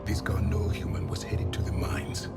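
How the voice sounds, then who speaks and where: A man playing a serious, gravelly-voiced squadmate in a video game. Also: normal speed, close to an everyday voice. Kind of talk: scripted game acting. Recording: loud music bed.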